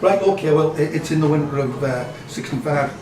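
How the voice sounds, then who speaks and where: A man answering as a quiz contestant, haltingly thinking aloud in short spontaneous bursts. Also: on the high side, background noise. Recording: echoey room, music behind.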